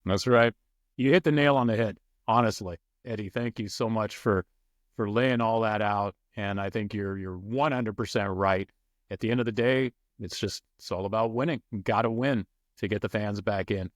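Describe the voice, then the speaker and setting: A man's voice: fairly low pitch, normal speed, no room sound, studio quality. A man hosting a podcast, interviewing in a casual, conversational way. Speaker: podcast host.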